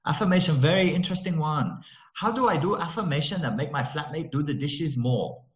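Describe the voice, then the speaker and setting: A man's voice: fairly high pitch, fairly quick, echoey room, voice pushed up, lightly acted. A man putting on a sing-song Malaysian English voice. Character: chatty adult Malaysian speaker of English, male.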